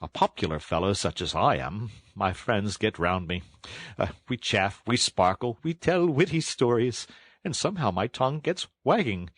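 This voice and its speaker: A man voicing a vain, excitable toad with a boastful, theatrical way of talking in a children's storybook. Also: lowish voice, normal speed, no echo. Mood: boastful, airy.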